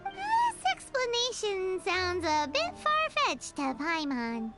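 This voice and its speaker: Squeaky, excitable little game companion. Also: female voice, slow, loud music behind the voice, full character voice. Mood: doubtful. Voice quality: squeaky, childlike. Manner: refers to self in third person, exclamatory questioning. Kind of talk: anime game voice acting.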